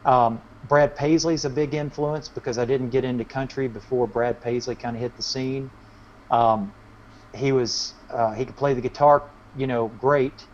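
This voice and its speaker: A man with a medium-high voice talks casually as a musician being interviewed, explaining himself in a loose, rambling way. The recording is muffled and lo-fi, with faint noise in it. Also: fairly even intonation, normal speed.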